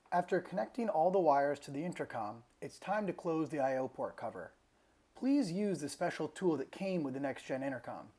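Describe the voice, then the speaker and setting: A man giving scripted instructional narration as the presenter of an installation tutorial, explaining things clearly step by step. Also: on the high side, a little mellow.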